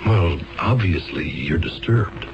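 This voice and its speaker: An actor in a 1970s radio drama playing an earnest, flustered defense lawyer who hesitates and stammers fussily. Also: male voice, sits low, lively intonation, slow pace, heavily acted. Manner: hesitant, stammering, throat-clearing, fussy. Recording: muffled old radio, music behind.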